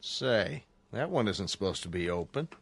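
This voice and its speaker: An actor playing a plain-spoken museum guard in a radio drama. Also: male voice, fairly low pitch, sing-song, moderate pace, vocal fry, fairly bright tone, husky, full character voice. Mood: matter-of-fact.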